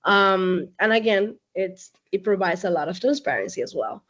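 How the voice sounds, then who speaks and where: A woman talks as a guest on a video call, loose and chatty, with frequent laughs and fillers. Her voice sits at a middle pitch, and the sound is a little dull. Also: expressive, normal speed, fairly bright tone. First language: Spanish. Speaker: guest speaker, company CEO. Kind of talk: casual online talk.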